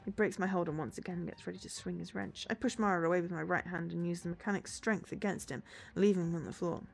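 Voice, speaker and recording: A woman's voice: fairly low pitch, steady tone, fast talker, gravelly, breathy edge. A woman streamer reading a visual novel aloud as dramatic narration. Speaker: game streamer. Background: game music.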